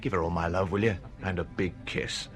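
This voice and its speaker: A man's voice: low-pitched, fairly even intonation, fairly quick, faint music behind, natural voice. An actor playing a disgruntled, impulsive British male writer in a naturalistic radio drama, insistent and full of questions. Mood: brisk, affable.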